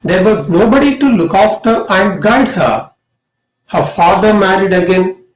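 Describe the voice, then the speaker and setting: A middle-aged man with an Indian accent reading a scripted lesson as an English lecturer, in a deliberate, emphatic way. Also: sits high, animated. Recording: roomy, echoing voice.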